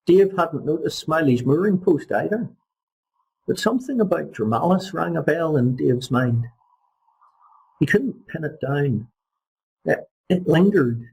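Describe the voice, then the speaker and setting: A man reading a short story aloud as an audiobook narrator, in a husky, gravelly voice. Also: fairly high pitch, dark tone, poor audio. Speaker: audiobook reader. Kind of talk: scripted literary narration.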